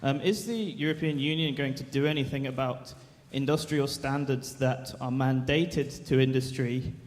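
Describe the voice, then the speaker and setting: A man's voice: medium pitch, normal speed. A man in the audience asking a question from the floor, stumbling, repeating words and rephrasing. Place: large lecture hall. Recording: long hall reverb.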